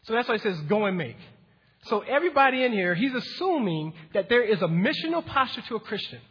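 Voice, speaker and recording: A man preaching a teaching sermon to his congregation, talking casually at first and building to emphatic, energetic preaching. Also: high voice, a little fast, husky. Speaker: pastor. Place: church hall with congregation. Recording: dull lo-fi recording in a reverberant hall.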